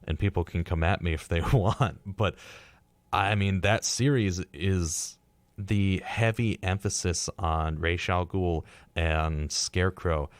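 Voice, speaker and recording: A man's voice: sits low, slow pace, no room sound. A man co-hosting a casual pop-culture discussion, speaking as an earnest, opinionated fan. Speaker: podcast co-host.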